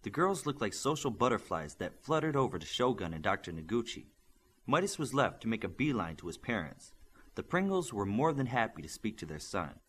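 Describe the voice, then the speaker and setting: Expressive male audiobook narrator. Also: lowish voice, fairly quick, breathy edge. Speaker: audiobook reader. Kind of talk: scripted narration.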